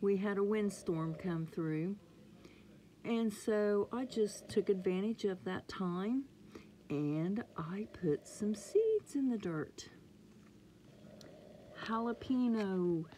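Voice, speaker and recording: Lady filming her seedlings on her phone, slow drawl, mellow voice, faint hum behind. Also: medium pitch. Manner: excitable, chatty, often drops to a murmur. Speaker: gardening vlogger. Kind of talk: casual home gardening vlog. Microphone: phone camera held close.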